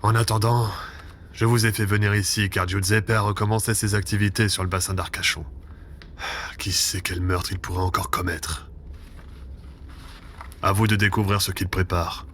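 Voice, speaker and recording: A deep, even-toned spy boss played by a man, cold and formal, handing down curt reproaches in an audio drama. Ambient noise sits behind the voice. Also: moderate pace, long pauses, lightly acted. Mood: businesslike. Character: stern intelligence chief, cold and scolding.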